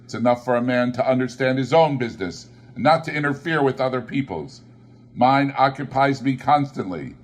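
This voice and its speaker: A stingy, bitter old man who bites off every word with clipped scorn, as a male reader puts him on. His voice rises higher and louder than the reader's own and pushes out fast and sharp. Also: lively intonation, lightly acted. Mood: scornful.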